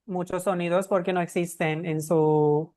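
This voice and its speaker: A woman's voice: fairly low pitch, steady tone, a little slow, warm tone, no echo. A woman co-hosting an interview, a native Hindi speaker explaining sounds in conversational Spanish. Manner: explanatory, leads the questions. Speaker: co-host. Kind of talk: casual interview chat.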